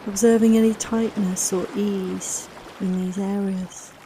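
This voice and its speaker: A woman leading a guided relaxation meditation in a soft, soothing, unhurried, reassuring manner, with long pauses between phrases. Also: medium pitch, little pitch movement, a little hiss or hum, low-quality recording. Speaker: guided meditation and yoga nidra teacher.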